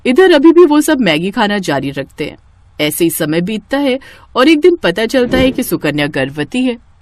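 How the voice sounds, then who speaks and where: A woman narrating a scripted moral story in an expressive, dramatic storytelling manner. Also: on the high side, normal speed.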